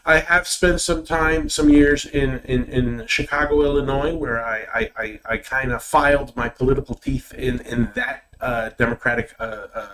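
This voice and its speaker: A man's voice: mid-range voice, fairly even intonation, normal speed, few pauses, a bit bright, poor audio. Hesitant middle-aged Puerto Rican man being interviewed. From Puerto Rico. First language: Spanish. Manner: hesitant, repeats words. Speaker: political candidate being interviewed. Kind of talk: interview answers.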